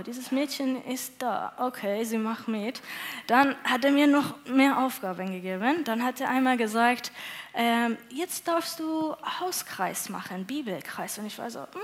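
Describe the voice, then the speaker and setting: A young woman from Iran with a Persian accent, a native Persian speaker, giving an earnest, halting testimony on a church stage with many fillers. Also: on the high side. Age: young adult. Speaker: church moderator and interpreter.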